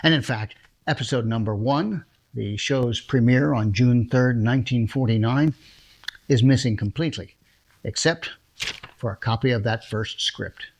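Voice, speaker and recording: A man's voice: medium pitch, normal speed. A man, a producer, casually introducing a radio drama in a chatty, enthusiastic way. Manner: chatty, enthusiastic, laughs between phrases. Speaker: producer and host.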